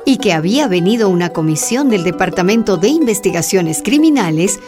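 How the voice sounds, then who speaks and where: A woman giving steady scripted narration in a radio drama. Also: mid-range voice, brisk. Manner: steady storytelling. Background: music.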